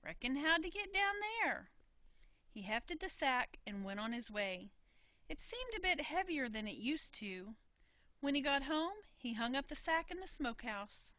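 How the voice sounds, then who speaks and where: A woman reading a folksy tale in an animated storytelling style, full of country dialect. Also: high voice, dry recording. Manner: folksy, dialect-laden telling. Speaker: storybook reader.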